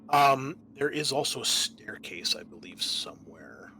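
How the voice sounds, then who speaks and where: A man acting as game master, explaining a tabletop roleplaying game in a casual, halting way. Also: mid-range voice, lively intonation, gravelly, noisy background.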